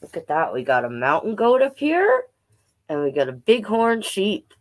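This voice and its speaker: A woman with a Canadian accent reading picture books aloud to children, playful and expressive, with chatty asides. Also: mid-range voice, normal speed. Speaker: drag performer hosting children's storytime.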